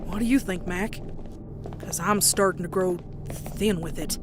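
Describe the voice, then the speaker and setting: A woman voicing a blunt young farm pony with a Southern US accent and a folksy, expressive way of talking, in a cartoon comic dub. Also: on the low side, measured pace, music in the background, natural voice. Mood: fed up. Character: plainspoken young country farm mare, blunt and worried.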